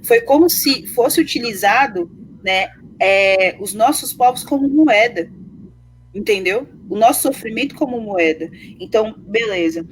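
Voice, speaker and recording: A woman's voice: on the high side, animated, unhurried, slight background noise, low-quality recording. A Brazilian woman speaking with passion and arguing her points in a discussion. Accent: Brazilian Portuguese. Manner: impassioned, argumentative. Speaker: panelist.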